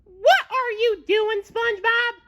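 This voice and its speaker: A woman's voice: extremely high pitch, fairly even intonation, takes it slowly, heavy background noise, pitched above the performer's own voice. A woman voicing an indignant female cartoon squirrel in a storybook.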